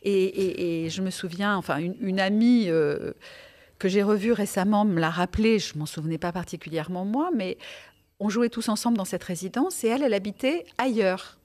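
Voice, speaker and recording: A woman's voice: mid-range voice, fairly quick, a little mellow. A middle-aged woman, raised in France, recalling her life and career in an interview, with frequent hesitations and restarts. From born in Tunisia, raised in France. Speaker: guest, television presenter. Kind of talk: podcast interview, reflective storytelling.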